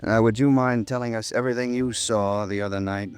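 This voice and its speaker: An American man acting a frank, methodical police lieutenant in a 1950s radio drama, brisk and matter-of-fact. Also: on the low side, steady tone, clean tone, soft background music, lightly acted. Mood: patient.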